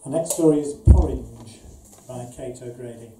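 A man's voice: medium pitch, moderate pace. A middle-aged man hosting an event, reading out a scripted introduction from the stage. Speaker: event organiser and host. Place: small event venue with stage, indoors. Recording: echoey, distant, clear background noise.

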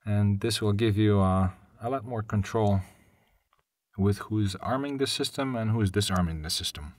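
A man presenting a live software tutorial as a technical webinar presenter, hesitant, with short pauses mid-sentence. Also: fairly low pitch, no echo, studio quality. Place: voice-over on a screen-share webinar.

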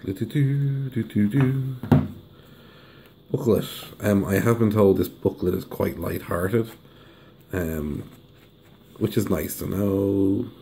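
A hoarse man, chatting casually through an unboxing review and humming as he unwraps things. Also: fairly low pitch, takes it slowly, long pauses, noisy background. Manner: chatty, hums while unwrapping. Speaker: deck reviewer. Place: indoors at a table. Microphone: camera over the table filming hands.

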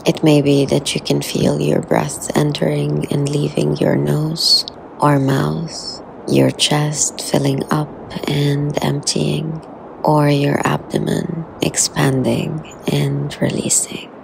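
A woman leading a guided meditation in a soothing, unhurried way, with soft, breathy phrase endings and long pauses. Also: low-pitched, little pitch movement, background noise.

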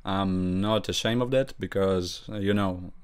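A young man with a Russian accent, a gaming channel host commenting over his gameplay in halting English, with grammar slips. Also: low-pitched, clear, resonant tone. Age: young adult.

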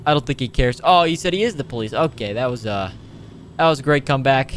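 A young man doing casual, excitable roleplay commentary over a video game, animated and slangy with frequent exclamations. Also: medium pitch, fast talker, slight background noise. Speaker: gaming video host.

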